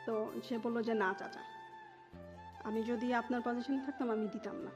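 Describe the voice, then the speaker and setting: A woman's voice: a little high, little pitch movement, moderate pace. A woman in her thirties with a Bangladeshi accent, telling her life story as an interview guest and dropping English words into her talk. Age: 30s. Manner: mixes English words into Bengali. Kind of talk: personal life storytelling in conversation. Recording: dull lo-fi audio with music behind. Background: music.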